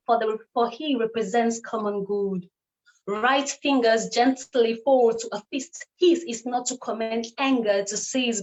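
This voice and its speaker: A woman's voice: fairly high pitch, rough recording. A woman from Cameroon performing a spoken word poem in a rhythmic, declamatory way, with repeated refrains.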